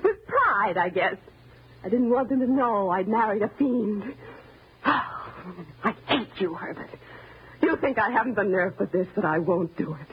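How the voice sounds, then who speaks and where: An actress playing a timid, resentful wife turned murderous, in old-time radio thriller style, menacing and bitter. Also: female voice, medium pitch, very animated, moderate pace, natural voice. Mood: tearful hatred. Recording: noisy, narrow-band old radio recording.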